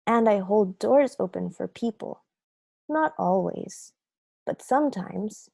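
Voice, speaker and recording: Woman as a slow-talking, grumpy storybook seed. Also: medium pitch, lively intonation, gravelly, warm tone, no echo, clean studio sound, heavily acted. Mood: hopeful, earnest. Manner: dramatic, deliberate, confessional storytelling. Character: grumpy, remorseful bad sunflower seed telling his story. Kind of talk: children's picture book read aloud.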